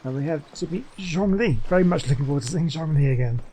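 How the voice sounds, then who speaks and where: A man's voice: fairly high pitch, animated, quick pace, mellow, slight background noise. A man giving casual, chatty commentary while playing a game, thinking aloud as he goes. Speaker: gaming host.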